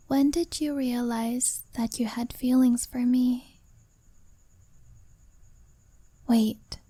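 A woman's voice: sits high, dry recording, heavily acted. Woman playing a shy young confessing woman. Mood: teasing. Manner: soft, hesitant, often whispered. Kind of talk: ASMR-style roleplay monologue.

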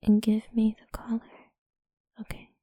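A woman speaking in a soft, slow, coaxing whisper for a close-mic ASMR roleplay. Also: a little high, completely flat delivery, husky, no room sound.

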